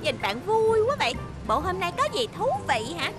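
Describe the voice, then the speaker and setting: A woman voicing a young, eager boy delivery truck in a cartoon dub, hurried and apologetic. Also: very high voice, big pitch swings, over music. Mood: cheerful.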